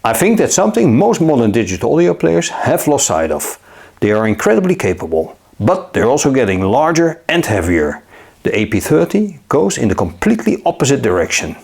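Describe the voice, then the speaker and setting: A man narrating a scripted gadget review in a measured, upbeat way. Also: mid-range voice, huge pitch swings, creaky, darkish tone, slightly rough. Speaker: tech reviewer.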